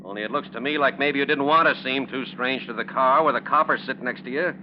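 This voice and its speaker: An actor playing a brusque, sardonic, suspicious police officer in a 1940s radio drama, talking in tough slang. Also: male voice, mid-range voice, fast, natural voice. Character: gruff, streetwise, suspicious male police officer. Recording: muffled, noisy old radio recording.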